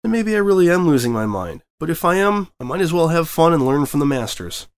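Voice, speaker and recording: A man's voice: fairly high pitch, very animated, fairly quick, pitched above the performer's own voice. Nervous, wry young stallion reporter, lightly raised voice, voiced by a male reader. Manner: pleading, then wry and joking. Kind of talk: fanfic audiobook reading.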